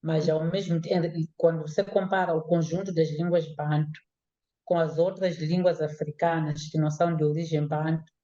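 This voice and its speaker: A woman linguist, giving measured, explanatory interview answers with some hesitations. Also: low-pitched, fairly even intonation, mellow, highs cut off. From Mozambique.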